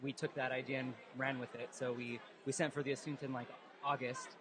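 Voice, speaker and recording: A man's voice: medium pitch, level tone, brisk, a crowd in the background. A man answering hesitantly with many fillers as a guest in an interview at a live event hall. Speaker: guest, dance group organizer. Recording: noisy live event recording.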